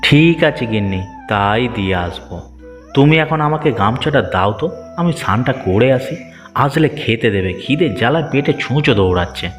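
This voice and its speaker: A male actor voices a dutiful village nephew from a cartoon moral tale. He speaks earnestly and familiarly at a medium pitch. The sound has a long reverb and music behind it. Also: lively intonation, normal speed, natural voice. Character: dutiful, good-natured village nephew and husband. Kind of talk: cartoon moral-story dialogue.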